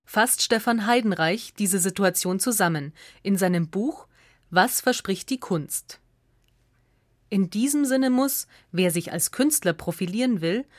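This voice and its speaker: A woman's voice: medium pitch, dry recording, clean studio sound. A woman reading a scripted radio essay as narrator.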